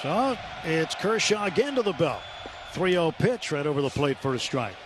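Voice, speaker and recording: A man doing live radio play-by-play of a baseball game, measured and descriptive, filling gaps between pitches with statistics. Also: animated. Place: stadium broadcast booth. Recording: noisy ballpark radio broadcast. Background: loud ballpark ambience.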